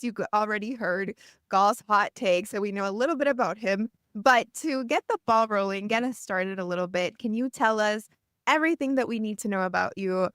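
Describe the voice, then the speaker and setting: A woman's voice: medium pitch, a bit bright, smooth, no echo, studio quality. A young woman from El Salvador hosting a podcast, upbeat and chatty, saying "like" often. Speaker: podcast host. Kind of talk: casual interview chat.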